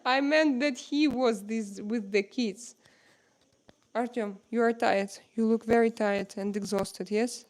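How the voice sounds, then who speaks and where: A woman with a Russian accent and Russian as her first language, hosting a casual live-stream chat at home and pausing often to search for words. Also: on the high side, fairly even intonation, darkish tone. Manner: halting, searching for words with many pauses.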